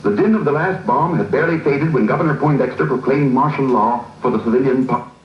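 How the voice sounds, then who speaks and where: A man's voice: medium pitch, brisk. Dramatic 1940s newsreel man, muffled old soundtrack. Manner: dramatic, declamatory. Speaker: film narrator. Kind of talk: 1940s wartime propaganda newsreel narration. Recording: old 1940s film soundtrack, muffled, distant, hissy, echoey.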